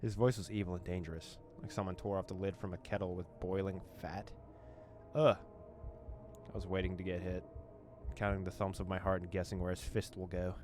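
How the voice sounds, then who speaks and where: A man's voice: sits low, little pitch movement, fairly quick, over music. A man hosting a let's play, reading a visual novel aloud with playful asides and dramatic reading.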